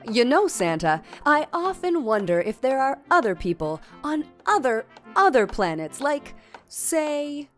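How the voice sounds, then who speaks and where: An over-eager broadcast reporter with a high, animated voice. The delivery is gushing, smarmy and showy, over music in a campy audio play. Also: female voice, measured pace. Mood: gushing, cheerful.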